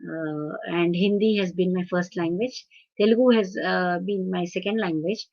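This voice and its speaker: A woman from India with an Indian English accent and Marathi as her first language, lecturing on translation. She talks haltingly, with many fillers and restarts. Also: fairly low pitch, steady tone, a little mellow, lo-fi sound. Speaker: webinar speaker on translation. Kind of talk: lecture with audience questions. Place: video call webinar.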